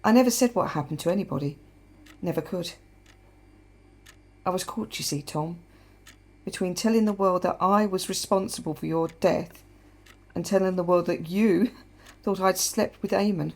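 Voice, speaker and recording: A guilt-ridden widow confessing in a radio play. Her voice is lowish with plenty of fry, reflective and halting. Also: a little mellow. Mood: haunted, guilty.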